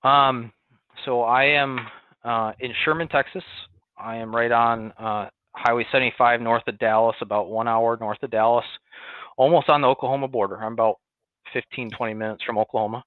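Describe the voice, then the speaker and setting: A young man from Western New York with its accent, a cattle farmer telling his story as a guest, relaxed, with many fillers and restarts. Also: medium pitch, normal speed, no room sound. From Western New York, near Buffalo. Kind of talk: conversational interview. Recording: tinny phone-like line, dry.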